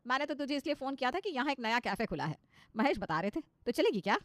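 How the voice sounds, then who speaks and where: A woman's voice: sits high, very animated, quick pace, dry recording, pitched above the performer's own voice. A woman voicing a fretful middle-aged mother who turns showily modern in a cartoon story, chatty and emphatic. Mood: chatty, resolved.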